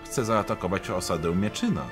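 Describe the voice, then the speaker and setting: A man voicing a weary, dutiful male warrior lieutenant with a very expressive delivery. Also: fairly quick, over music. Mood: thoughtful. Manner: very expressive, swinging pitch.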